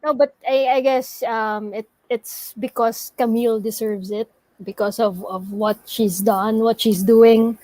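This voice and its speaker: A woman's voice: on the high side, steady tone, warm tone, low-quality recording. A woman with a Filipino accent co-hosting a casual podcast, switching between Tagalog and English and often restarting. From Philippines. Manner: halting, mixes Tagalog and English, many restarts. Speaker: podcast co-host.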